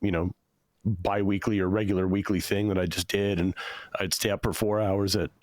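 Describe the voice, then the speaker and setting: A man with a fairly low, hoarse voice, worn from losing it. He tells dry jokes in a flat, deadpan way, with plenty of ums between thoughts. The sound is close and dry, with no room around it. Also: moderate pace, no echo. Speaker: guest, comedian and author. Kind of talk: casual interview chat. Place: home setup, standing.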